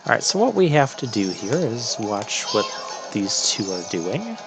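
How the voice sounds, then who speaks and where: Slow-paced man doing casual game commentary. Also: fairly low pitch, big pitch swings. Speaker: video game playthrough host. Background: video game music.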